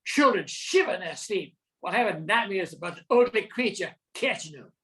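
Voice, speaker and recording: A woman's voice: medium pitch, lively intonation, brisk, a bit bright. An older woman reading scripted story narration for an amateur radio play.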